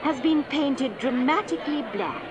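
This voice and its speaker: A formal 1930s radio commentator at an air field. Her voice sits a little high and runs brisk and announcing. A loud crowd roars behind her. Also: animated.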